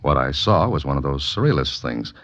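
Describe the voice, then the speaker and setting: An actor playing a laconic, wisecracking writer-adventurer with an American accent, narrating a 1940s radio mystery. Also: male voice, very deep voice, big pitch swings, fairly quick, a bit bright, exaggerated voice. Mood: wry narrating. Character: dry, wry writer and amateur adventurer, male narrator. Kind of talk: 1940s radio mystery acting, hard-boiled first-person narration. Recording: muffled 1940s radio recording with music.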